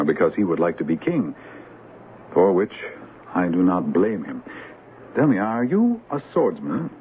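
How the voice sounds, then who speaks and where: A jovial, imperious king in an old radio play. His low voice swings widely and expansively, with impulsive regal flourishes. The sound is thin and narrow-band, with some hiss. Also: sing-song, a little slow. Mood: genial, expansive. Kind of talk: 1970s radio drama acting. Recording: noisy narrow-band vintage radio broadcast.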